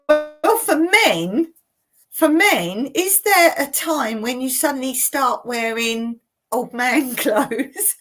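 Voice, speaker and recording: A woman in her late fifties hosting a casual live Q&A, reading viewer comments aloud and chatting about them with frequent laughs. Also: medium pitch. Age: 50s. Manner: chatty, laughs often between remarks. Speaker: channel host. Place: home in rural Cyprus.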